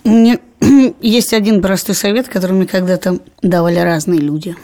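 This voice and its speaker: A woman's voice: on the low side, fairly even intonation, moderate pace, warm tone. A woman co-hosting an advice podcast, talking in a thoughtful, frank way. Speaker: podcast co-host. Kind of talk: casual discussion.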